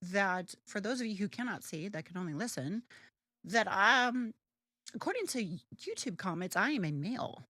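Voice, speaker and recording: Animated low-voiced woman joking around. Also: normal speed, no room sound, studio quality. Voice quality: deeper alto voice. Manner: brash, joking, swears freely; animated, lively pitch. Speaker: podcast co-host. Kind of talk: casual chat.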